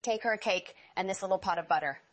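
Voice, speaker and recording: A woman voicing a fond, caring village mother in a fairy tale, giving warm instructions. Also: mid-range voice, quick pace, no echo, lightly acted.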